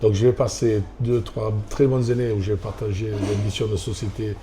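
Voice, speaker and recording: A man from Australia with an Australian accent, a restaurant owner and former rugby player, answering interview questions in halting French with frequent restarts. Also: fairly low pitch, flat delivery, background noise.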